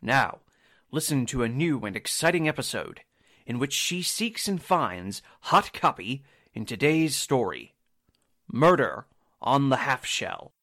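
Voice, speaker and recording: A man's voice: mid-range voice, animated, unhurried, sharp tone. A man announcing a radio drama in a formal, dramatic 1940s style, reading from a script.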